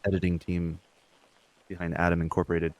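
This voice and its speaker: A man's voice: sits low, monotone, brisk, a little mellow, low-quality recording. A young man co-hosting a podcast, chatting informally and swearing casually. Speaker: podcast co-host; substitute teacher.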